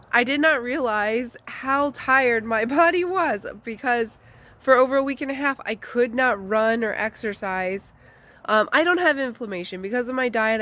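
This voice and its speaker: A woman talks straight to her viewers in an unpolished, candid way, with plenty of ums and likes. Her voice is stuffy and congested, still recovering from the flu, at an ordinary middle pitch. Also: a bit bright. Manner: chatty, candid, with frequent fillers. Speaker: vlogger. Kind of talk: casual personal life update.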